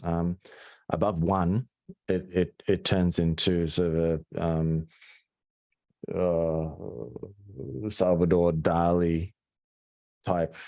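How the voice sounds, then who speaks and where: Low, mellow Australian man presenting. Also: little pitch movement, drawn-out words, pauses often. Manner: hesitant, full of restarts and fillers. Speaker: webinar presenter. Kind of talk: conversational webinar presentation.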